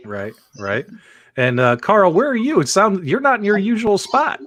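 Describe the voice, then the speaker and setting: A man's voice: high voice, big pitch swings, normal speed, a bit bright, dry recording. A man hosting a casual livestream show, chatting and explaining things to co-hosts and viewers.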